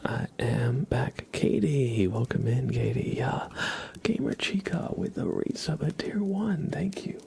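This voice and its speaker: A man who streams ASMR, chatting softly and casually with his viewers and greeting them by name. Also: medium pitch, sing-song, unhurried, vocal fry. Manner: soft-spoken, chatty, addressing viewers by name. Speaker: ASMR livestreamer. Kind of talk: whispered ASMR, casual livestream chat.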